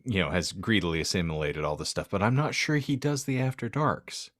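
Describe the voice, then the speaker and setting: Low-voiced man in wry podcast banter. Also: sing-song, brisk, a bit bright, no room sound, studio quality. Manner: wry, wordy, irreverent. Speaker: podcast co-host. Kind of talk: casual comedic podcast banter.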